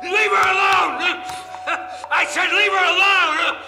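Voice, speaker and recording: An actor playing a desperate, enraged, foul-mouthed criminal in a video game cutscene, agitated and raw, with music behind him. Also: male voice, very high-pitched, fairly even intonation, takes it slowly, gravelly, shouting, a loud crowd, full character voice. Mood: furious.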